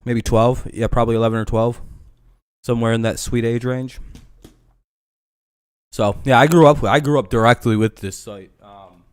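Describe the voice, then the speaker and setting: Twenty-something guy rambling and cursing on his stream, smooth clear voice, dry close sound, middle pitch. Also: fairly even intonation, moderate pace, pauses often, no echo. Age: 20s. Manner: rambling, profane, self-interrupting. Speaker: livestream host. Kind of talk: casual livestream chat.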